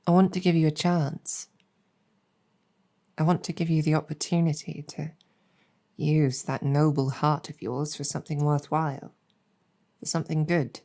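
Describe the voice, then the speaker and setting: A woman voicing a weary, earnest demon general in an intimate audio roleplay, speaking reflectively with hesitant pauses. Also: low voice, flat delivery, mellow.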